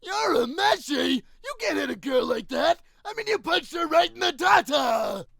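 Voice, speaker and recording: High, gravelly indignant young brawler. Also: male voice, very animated, normal speed, exaggerated voice. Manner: shouty, outraged, blustering. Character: loud indignant chivalrous young male brawler. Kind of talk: anime parody dub.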